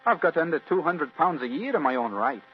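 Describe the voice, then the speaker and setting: An actor playing an earnest, anxious young heir with a formal, impassioned delivery in a vintage radio drama. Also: male voice, on the high side, big pitch swings, a little fast. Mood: despondent. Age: young adult. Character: earnest, anxious twenty-year-old heir to a castle. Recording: muffled old radio recording with hum.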